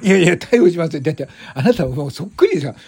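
Comic skit: tipsy, flustered man, played by a man, husky and animated, rambling, excitable, over-polite. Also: fairly high pitch, rapid-fire, heavily acted. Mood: excited, cheering. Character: tipsy, flustered, cheerful man stopped by police. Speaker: show host.

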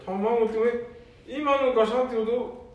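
A man's voice: very high-pitched, steady tone, normal speed, highs cut off. A man playing a short-tempered, agitated adult son with a Nanbu dialect in an amateur radio drama. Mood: alarmed. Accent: Nanbu dialect, northern Japan. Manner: loud, agitated. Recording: echoey room, off mic.

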